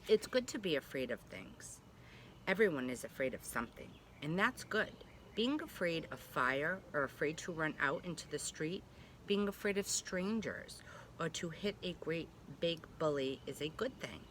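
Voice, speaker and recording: A woman preschool teacher reading a storybook aloud to young children, gently and reassuringly, with chatty asides. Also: low-pitched, lively intonation, normal speed, a little hiss or hum. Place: at home.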